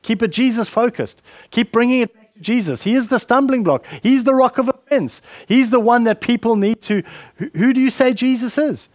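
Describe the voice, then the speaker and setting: A man's voice: extremely high pitch, normal speed, a little mellow, no room sound. A man preaching a sermon at church, rambling and conversational with many fillers and asides. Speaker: pastor. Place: church hall. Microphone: handheld mic with a weak battery.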